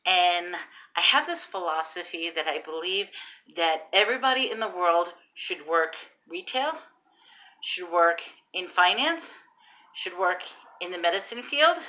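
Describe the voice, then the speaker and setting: A woman's voice: mid-range voice, poor audio. A woman in her thirties with a New York Long Island accent, telling stories in a casual vlog, recorded on a phone at arm's length in a small room. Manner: animated, exclamatory storyteller. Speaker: vlogger and online seller.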